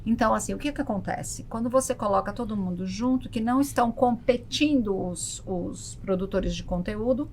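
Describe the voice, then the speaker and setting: A woman's voice: on the high side, brisk, few pauses, a little hiss or hum. A Brazilian woman explaining things casually as a podcast guest, repeating words for emphasis. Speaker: podcast guest, travel content creator.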